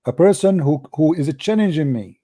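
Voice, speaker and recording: A man's voice: a little high, animated, moderate pace, dark tone, dry recording. A man hosting a heated call-in debate, his voice tired and dry, combative and repeating himself. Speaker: debate show host.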